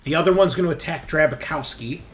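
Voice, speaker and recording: A man's voice: a little high, quick pace. A man acting as game master in a tabletop roleplaying game, narrating action and explaining the rules. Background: background music.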